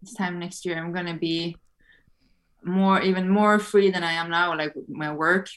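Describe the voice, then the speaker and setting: A woman chats as a podcast guest in an easygoing, thoughtful way, leaning on fillers as she thinks aloud. Her voice sits on the lower side for a woman. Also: steady tone, moderate pace, a bit bright. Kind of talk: casual interview chat.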